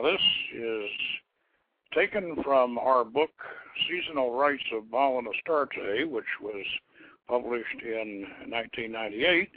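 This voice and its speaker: A man hosting a radio show, speaking in halting, deliberate phrases with a husky voice, as storyteller and presenter. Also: medium pitch, dry recording. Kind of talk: informal show introduction and dramatic story reading. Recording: tinny phone-line radio sound.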